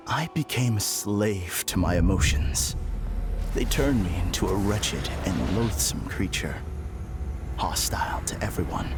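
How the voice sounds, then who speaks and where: An actor playing a politely grandiose, deluded man in a video game, formal and theatrical in manner. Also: fairly low pitch. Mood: bitter. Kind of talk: dramatic video game voice acting.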